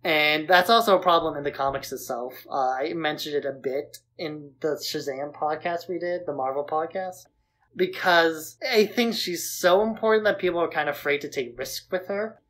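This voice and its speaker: Female podcast co-host, low-pitched, informal and opinionated film talk. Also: moderate pace, fairly bright tone. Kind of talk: casual opinionated film discussion.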